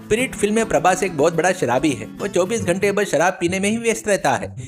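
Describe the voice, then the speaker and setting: Man putting on a pushy, high-pitched village braggart. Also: lively intonation, quick pace, faint music behind. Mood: insistent, excited. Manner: bragging, insistent, wheedling. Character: boastful, idle village chatterbox man, pushy tall-tale teller.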